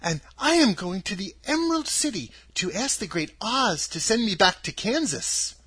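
Man voicing a high, earnest little girl. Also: sing-song, normal speed, bright, forceful tone, slightly rough, no room sound, exaggerated voice. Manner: polite, earnest, wondering. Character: polite, earnest, kind-hearted little girl.

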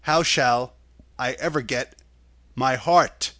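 Man as a slow, solemn tin woodman. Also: mid-range voice, bright, forceful tone, dry recording, studio quality. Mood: dismayed.

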